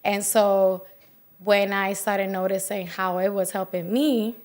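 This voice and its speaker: A young woman from the Dominican Republic, a wellness coach talking as a podcast guest, quick and chatty with lots of "like.". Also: mid-range voice, steady tone, darkish tone. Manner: quick, chatty, many "like" fillers. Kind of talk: casual interview chat.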